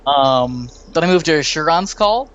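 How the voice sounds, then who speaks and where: A man's voice: a little high, lively intonation, a bit bright, dull audio. Chatty man in his late twenties. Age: late 20s. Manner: quick, chatty storyteller. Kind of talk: casual gaming chat.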